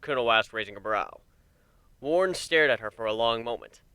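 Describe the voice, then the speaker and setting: A man's voice: medium pitch, animated, normal speed, sharp tone, smooth, no room sound. A man narrating a story as a dramatic reading.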